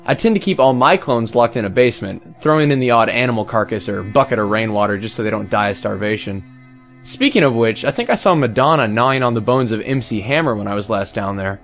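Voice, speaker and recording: Guy doing a smug callous pirate guy making excuses, comic monologue, pitch swings around, music behind. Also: expressive, fast talker, fairly bright tone. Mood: casual, callous. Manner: flippant, self-justifying, rhetorical questions.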